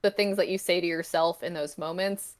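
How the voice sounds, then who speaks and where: A woman's voice: on the low side, steady tone, a little fast. A woman chatting casually as a podcast guest, explaining her thoughts in a rambling, reflective, tentative way. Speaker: podcast guest, comic creator. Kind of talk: casual interview chat.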